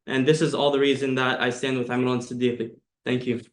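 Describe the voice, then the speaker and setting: A man's voice: mid-range voice, level tone, a little fast. A young man with an American accent giving an earnest, prepared endorsement speech. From United States. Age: young adult. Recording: roomy, echoing sound.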